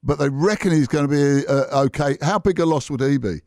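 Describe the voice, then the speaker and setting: A man's voice: a little high, a little fast, a little mellow, no room sound, clean studio sound. A man hosting a radio interview, asking long, rambling questions. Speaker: radio host.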